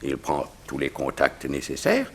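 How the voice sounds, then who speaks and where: An actor playing a grave, dignified diplomat with a formal, measured delivery in a 1960s French radio mystery serial. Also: male voice, a bass voice, huge pitch swings, vocal fry, husky, full character voice. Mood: solemn, confiding. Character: grave, dignified Siamese diplomat confiding state secrets.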